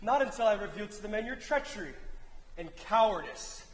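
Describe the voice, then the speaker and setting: A young man acting a forceful, accusing warrior, brother of a king, in declamatory Greek tragedy style on stage. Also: high voice, animated, fairly quick. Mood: stern, urging. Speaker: student actor in a stage play. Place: theatre stage, indoors. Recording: echoey noisy theatre hall recording.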